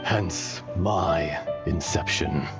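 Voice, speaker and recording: A man's voice: drawn-out words, full character voice. A man voicing an ancient, weary earth dragon spirit in a video game, solemn and fatalistic, with formal philosophical speech. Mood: resigned, solemn. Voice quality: deep, gravelly. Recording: dull lo-fi game capture under music.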